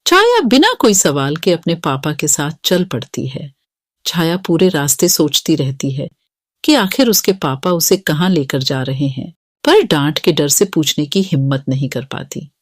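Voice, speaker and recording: A woman's voice: low-pitched, sing-song, unhurried. A woman reading scripted narration for a children's moral story as the narrator.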